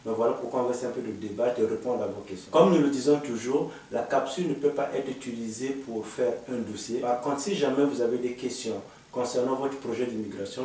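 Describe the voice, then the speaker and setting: A man hosting a studio panel talk on immigration, asking questions in a measured way with hesitant fillers. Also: medium pitch, warm tone, a little hiss or hum. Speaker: host, immigration consultant. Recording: echoey room, mic far off.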